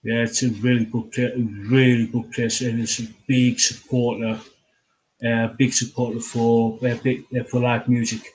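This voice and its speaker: A man, interviewing casually as host in a halting, repetitive way. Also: mid-range voice, level tone, a little mellow, a little hiss or hum, rough recording. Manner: halting, repeats phrases, filler-heavy.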